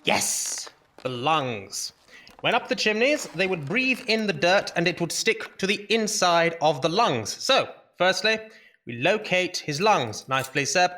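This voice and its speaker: Theatrical, bright-voiced Victorian doctor. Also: male voice, high voice, animated, exaggerated voice. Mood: lecturing, emphatic. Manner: theatrical, didactic, emphatic pauses. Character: brisk, dismissive Victorian doctor lecturing at a dissection. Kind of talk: audio drama acting, lecturing.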